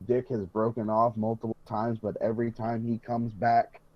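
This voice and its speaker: A man's voice: medium pitch, monotone, normal speed, no echo, dull audio. A man co-hosting a hobby podcast, chatting casually with emphatic, sweary, rambling enthusiasm. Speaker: podcast co-host.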